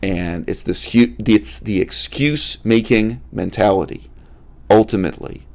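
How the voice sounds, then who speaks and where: Low, raspy-fry male host giving a blunt, emphatic talk to camera. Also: unhurried. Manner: emphatic, repeats key words for stress; addresses viewers directly, asks rhetorical questions. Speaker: channel host. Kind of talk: unscripted philosophical monologue to camera.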